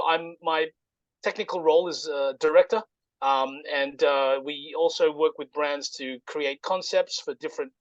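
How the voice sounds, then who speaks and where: A man giving an informal, halting explanation as a guest presenter in casual conversation. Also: a little high, fairly bright tone, dry recording, muffled recording. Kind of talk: informal project pitch, casual chat.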